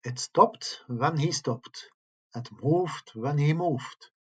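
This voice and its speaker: A man reading scripted tales aloud as a storyteller, with a husky voice. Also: a little high, slow. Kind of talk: slow scripted ghost story narration.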